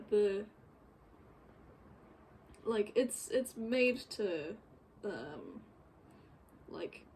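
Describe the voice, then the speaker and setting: Woman chatting casually over her screen work, really slow n hesitant, bit of noise behind her. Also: medium pitch, gravelly, a little mellow. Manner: hesitant, halting, rambling. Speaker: game developer recording a work-in-progress video. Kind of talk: casual unscripted screen-share commentary. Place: bedroom, indoors.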